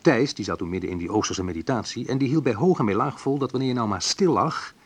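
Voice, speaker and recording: An actor playing a wistful man recounting his youth in a reflective, measured radio play narration, with a slightly husky voice. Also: medium pitch, animated, no echo. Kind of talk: literary first-person radio play narration.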